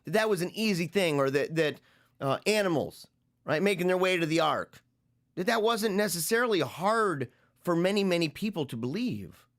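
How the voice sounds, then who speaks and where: Halting, reflective man in his forties. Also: sits high, lively intonation, a bit bright. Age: 40s. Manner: rambling, halting, repeats phrases while thinking aloud. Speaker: podcast host discussing a book. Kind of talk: reflective solo commentary.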